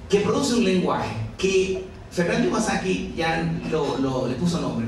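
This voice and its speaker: A man's voice: fairly high pitch, a little hiss or hum. A Venezuelan novelist in his forties, talking to an audience in a chatty, self-mocking way and laughing often. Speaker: novelist presenting his book. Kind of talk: conversational talk to an audience. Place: busy café with an audience. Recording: echoey café room, mic far off, crowd chatter.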